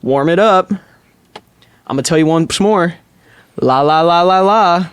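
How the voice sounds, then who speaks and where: An overdramatic reciter played by a man delivers flirty pop lyrics on a comedy podcast. The delivery is slow, deliberate and theatrical, with a dry sound. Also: a little high, smooth, no echo, natural voice. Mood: mock serious. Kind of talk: mock dramatic reading.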